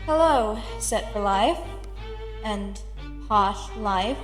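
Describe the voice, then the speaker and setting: A woman's voice: mid-range voice, lively intonation, very slow. A woman voicing a polite, protective mother unicorn, earnest and firm, in a cartoon fan drama. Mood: polite, restrained. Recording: long reverb with music behind.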